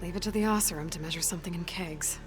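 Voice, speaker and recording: An actress playing a confident, dry-witted young hunter in a video game, direct and wry. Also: female voice, fairly low pitch, very fast, natural voice. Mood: determined, wry. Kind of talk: video game dialogue acting. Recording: music behind the voice.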